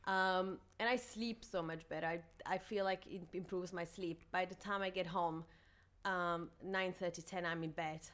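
Female podcast guest, thirties, from Slovakia, somewhat low pitch, relaxed conversational interview answers. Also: normal speed. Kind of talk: casual interview chat.

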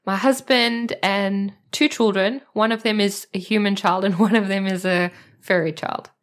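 A woman's voice: medium pitch, steady tone, moderate pace. A woman with a South African accent chatting as a podcast guest, thinking aloud as she talks. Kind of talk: casual interview chat.

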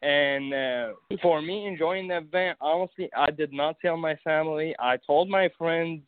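A man's voice: on the high side, moderate pace, clean tone, no echo. Man with an Arabic accent on a phone line. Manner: hesitant, many fillers and restarts. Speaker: interview guest. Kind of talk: casual interview. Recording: phone-like narrow sound, dry.

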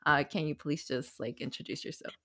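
Woman, lowish voice, podcast host, casual interviewer, informal, friendly. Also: steady tone, fairly quick, fairly bright tone.

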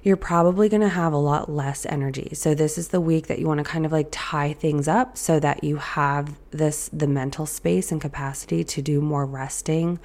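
A woman hosts her podcast in a loose, chatty way, talking on with plenty of ums and restarts. Her voice is low, raspy and gravelly with fry, hoarse after being ill. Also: steady tone, normal speed, hardly any pauses, a little mellow. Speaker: podcast host. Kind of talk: casual solo podcast talk.

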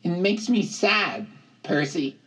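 An earnest young male satyr from a storybook, acted out by a man, speaks in a high, husky voice. He is plaintive and emphatic, gloomy about nature and quick to turn indignant, lecturing at an unhurried pace. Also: big pitch swings, fairly bright tone, full character voice. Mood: mournful.

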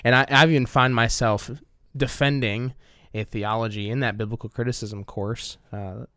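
A man's voice: mid-range voice, steady tone, moderate pace, a bit bright, clear, resonant tone, no echo, clean studio sound. A man co-hosting a podcast in casual conversation, speaking hesitantly with frequent fillers. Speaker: podcast co-host. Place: small home studio.

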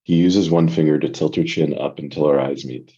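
A man's voice: rumbling low, fairly even intonation, brisk, dark tone, slightly rough, muffled recording. A man reading and talking as a guest story reader, in narration and casual discussion.

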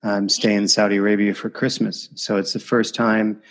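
A man in his forties hosts a podcast with a lowish, steady voice that hardly rises or falls. He tells stories in a relaxed, reflective way, letting his lines trail off softly. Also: normal speed, rough recording. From Windsor, Ontario, Canada. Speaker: podcast host. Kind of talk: casual interview chat.

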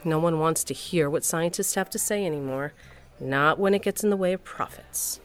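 Woman playing middle aged mom narrating her story, deep for a woman, dry sardonic, hurt, bit of music under. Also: moderate pace, close to an everyday voice. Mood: indignant, dry. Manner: wry, sardonic. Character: wry, wounded middle-aged wife and mother. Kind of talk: first-person audio drama narration and dialogue.

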